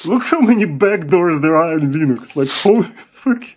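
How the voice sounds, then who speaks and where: Brief: mocking male tech demo voice, lively pitch, faint keyboard clicks behind. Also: moderate pace, a little hiss or hum. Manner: mocking, excitable, profane. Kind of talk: screen-recorded demo, casual.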